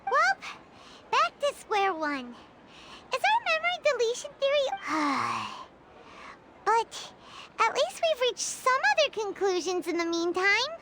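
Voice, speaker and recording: A woman voicing a tiny, excitable childlike fairy companion in a video game, with a squeaky, chirpy delivery. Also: slow, very noisy, exaggerated voice. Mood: puzzled, animated. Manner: chirpy, speaks of self in third person. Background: game music.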